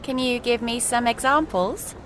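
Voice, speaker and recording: A woman co-hosting a scripted show, presenting in a bright, friendly manner. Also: fairly high pitch, normal speed, slight background noise, close to an everyday voice. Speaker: co-host. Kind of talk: scripted course-book radio show.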